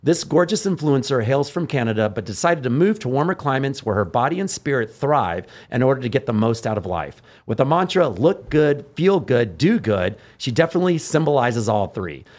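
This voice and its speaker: A man hosting a podcast in casual chat, excitable, laughing often and repeating his words. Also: medium pitch, moderate pace, few pauses. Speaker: podcast host.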